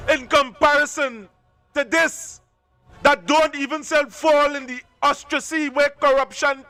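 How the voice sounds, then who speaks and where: A Trinidadian man rants about politics on a live stream in a very high, bright, sharp voice. He scolds his listeners in local dialect and keeps repeating himself for emphasis. Faint music sits behind him. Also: fairly even intonation, measured pace.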